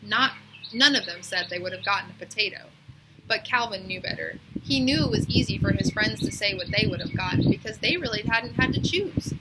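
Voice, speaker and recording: A woman reading a children's story aloud as a storyteller, in an expressive, animated way that suits acting out dialogue. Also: medium pitch, fairly quick, background noise.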